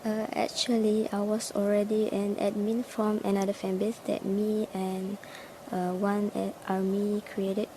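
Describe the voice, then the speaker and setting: A woman's voice: medium pitch, completely flat delivery, measured pace, few pauses, dark tone, slight background noise, poor audio. A woman in her twenties from Malaysia, answering interview questions as a fan base head admin, hesitant with fillers and restarts. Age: 20s.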